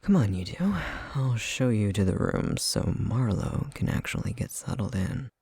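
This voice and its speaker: A man playing a gruff, weary but welcoming housemate in an ASMR roleplay, with a raspy, rumbling, slightly congested voice and a hesitant, matter-of-fact way of talking. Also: very animated, normal speed, a little mellow, no echo, clean studio sound, heavily acted. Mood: weary, kind.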